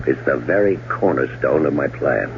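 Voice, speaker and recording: An actor playing a cold-blooded, middle-aged scheming brother in a 1970s radio drama, suave, sardonic and deliberate. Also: male voice, extremely low pitch, lively intonation, vocal fry, heavily acted. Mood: smooth, calculating. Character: scheming, cold-blooded older brother plotting his wife's murder. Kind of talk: 1970s radio drama acting and first-person narration. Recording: dull, noisy old radio broadcast recording.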